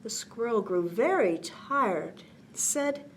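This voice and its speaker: A woman hosting a children's bedtime storytime, reading a picture book gently and expressively with casual asides, often dropping to a near-whisper. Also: on the high side, animated, takes it slowly, slight background noise.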